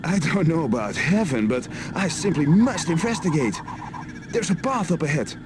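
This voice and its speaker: An actress playing an earnest, inquisitive adventurer in a fantasy video game. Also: female voice, deep voice, animated, normal speed, noisy background. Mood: excited curiosity.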